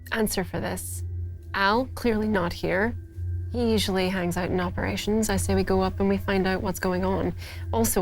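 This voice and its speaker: A woman playing a tabletop role-playing game in character, with a brisk, no-nonsense manner. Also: medium pitch. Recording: music behind the voice.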